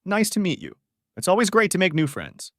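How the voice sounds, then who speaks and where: A man teaching beginner English, reading short model sentences clearly and saying each one twice. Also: sits high, wildly swooping pitch, fairly bright tone, dry recording, studio quality. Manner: says each phrase twice, clearly and deliberately.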